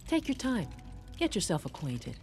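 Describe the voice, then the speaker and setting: A woman's voice: fairly low pitch, huge pitch swings, moderate pace, darkish tone, faint music behind. An actress playing a practical female chief engineer in a video game, speaking in a businesslike but welcoming way. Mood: welcoming, brisk.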